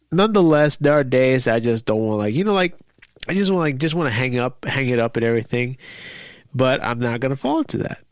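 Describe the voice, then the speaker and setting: A man's voice: medium pitch, no echo, studio quality. A man hosting his own podcast while sick with a cold and stuffy. He rambles, restarts sentences and sounds defiant at times. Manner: rambling, repeats words, frequent fillers; defiant, frustrated. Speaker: podcast host. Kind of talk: casual solo podcast monologue.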